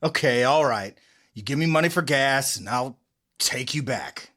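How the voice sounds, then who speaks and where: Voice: mid-range voice, expressive, measured pace, a bit bright. A performer reading an exasperated, bitter husband in a comic table read, sounding irritated, sarcastic and defensive.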